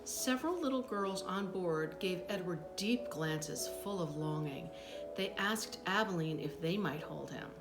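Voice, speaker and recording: Animated woman reading a children's story aloud. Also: on the low side, over music. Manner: animated, dramatic pauses, acts out dialogue. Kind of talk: expressive storytime read-aloud with brief asides.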